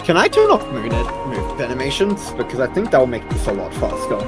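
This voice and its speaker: A man livestreams a game in a medium-pitched voice, talking casually as he plays. Clear game music runs behind him. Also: wildly swooping pitch, fairly quick. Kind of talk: casual live gameplay commentary.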